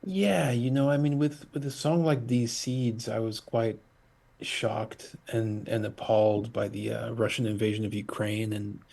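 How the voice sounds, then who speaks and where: Husky-voiced man in a video-call interview. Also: fairly low pitch, steady tone, darkish tone. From Portugal. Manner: halting, many fillers and restarts. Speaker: musician guest. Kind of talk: casual interview chat.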